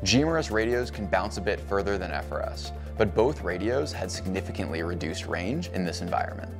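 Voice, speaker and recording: A man in his thirties giving a measured, scripted explanation indoors in a cabin-style studio. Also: low-pitched, expressive, music in the background. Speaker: co-founder explaining radio range. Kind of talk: scripted educational narration.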